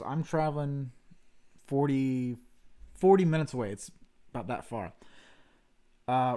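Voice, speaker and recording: A man of ordinary pitch tells a long story on a casual home livestream. He rambles, corrects himself and pokes fun at himself along the way. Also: mellow. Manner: rambling, self-deprecating storyteller. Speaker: stream co-host.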